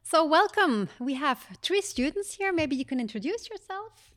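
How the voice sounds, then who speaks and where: A woman from Belgium hosting a podcast as a psychologist, speaking in a measured, explanatory way with frequent fillers. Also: high-pitched, very animated, a bit bright. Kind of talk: podcast conversation.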